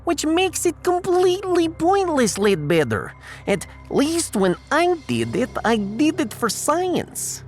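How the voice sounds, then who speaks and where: A man's voice: very high voice, wildly swooping pitch, takes it slowly, faint music behind, full character voice. A man voicing a haughty, eccentric Russian woman scientist in a science fiction audiodrama, lecturing and teasing with a Russian accent and dropped articles. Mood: scornful, exasperated.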